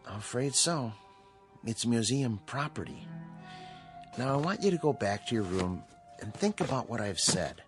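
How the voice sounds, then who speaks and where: An actor playing a calm, authoritative psychiatrist in a radio drama, speaking in a measured, explanatory way. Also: male voice, medium pitch, over music, natural voice. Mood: firm, probing. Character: calm, authoritative male psychiatrist at a mental hospital.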